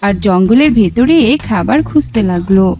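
A woman's voice: medium pitch, lively intonation, normal speed, warm tone, soft background music. A woman narrator reading a scripted fairy tale for a children's cartoon.

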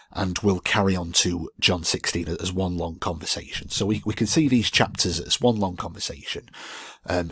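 A man's voice: sits low, slightly rough, dry recording. A man teaching a Bible study over slides, thinking aloud with many fillers and restarts, his voice groggy.

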